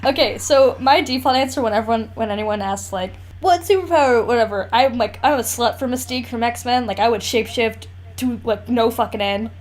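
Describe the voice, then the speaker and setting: High-pitched, sardonic woman podcaster. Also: brisk. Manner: sardonic, sweary, playfully exasperated. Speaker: podcast co-host. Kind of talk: casual chat.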